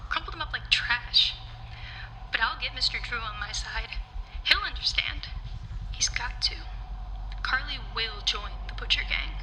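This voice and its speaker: An actress playing a slighted but stubbornly determined cartoonist in a game audio log, earnest and insistent. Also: female voice, on the high side, sharp tone, a little hiss or hum, full character voice, rough recording. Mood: determined, hopeful.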